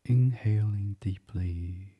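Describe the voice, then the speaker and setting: A man guiding a bedtime meditation as a podcast host, speaking softly and soothingly in an unhurried, hushed, breathy way. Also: fairly low pitch, dull audio. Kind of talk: guided meditation, gentle bedtime reading.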